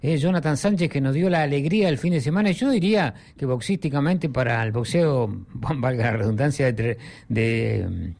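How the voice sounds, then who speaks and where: A man's voice: mid-range voice, expressive, moderate pace, vocal fry, hardly any pauses, darkish tone. A middle-aged Argentine man hosting a sports radio show in a rambling, conversational way.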